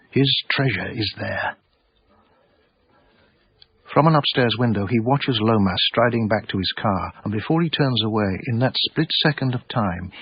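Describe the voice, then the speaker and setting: An actor playing a wry, literary-minded man narrating his story in a radio drama, deliberate and knowing. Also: fairly low pitch, breathy edge, dry recording. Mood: brooding, wry. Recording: slightly dull, very dry radio recording.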